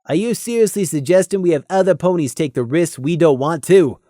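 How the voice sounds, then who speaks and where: A woman playing a dutiful, cautious pony officer with a measured, earnest way of talking in an audiobook. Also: fairly low pitch, dark tone, dry recording, clean studio sound, voice pushed up, lightly acted. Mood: stern. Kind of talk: fan fiction audiobook character voice.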